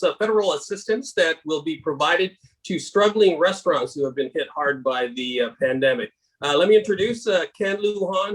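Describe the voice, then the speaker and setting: Male host, friendly casual tone, lots of uhs, slightly high voice, video call. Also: fairly bright tone, rough recording. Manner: friendly, many filler uhs. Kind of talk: casual interview hosting.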